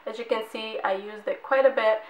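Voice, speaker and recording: Hoarse young woman chatting about makeup. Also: a little high, steady tone, brisk, highs cut off. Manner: chatty, rambling, repeats words for emphasis. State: hoarse, losing her voice. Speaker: beauty vlogger. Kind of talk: casual product review. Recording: echoey room.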